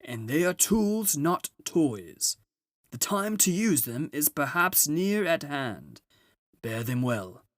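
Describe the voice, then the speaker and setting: A man voicing a big, solemn, kindly old gift-giver in a storybook, with slow, booming, grand proclamations. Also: sing-song, dry recording, clean studio sound.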